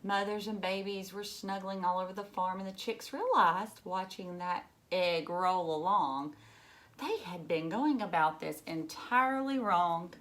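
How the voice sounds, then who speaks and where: Woman, bright clear-cut tone, slow pace, expressive children's read-aloud, emphatic, chatty asides to little listeners. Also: on the low side. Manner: animated, emphatic, with gasps and questions to young listeners. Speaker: teacher reading a picture book aloud.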